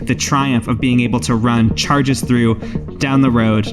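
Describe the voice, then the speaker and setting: A man's voice: mid-range voice, steady tone, unhurried. A man running and narrating a tabletop role-playing game as game master, teasing and playful. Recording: music behind the voice. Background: background music.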